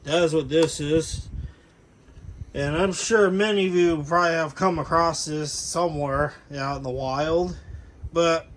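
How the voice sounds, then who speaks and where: An American man giving a casual, unscripted product overview as a hobbyist, explaining things in a rambling, matter-of-fact way. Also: on the high side. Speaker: hobbyist video creator. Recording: clearly noisy room ambience.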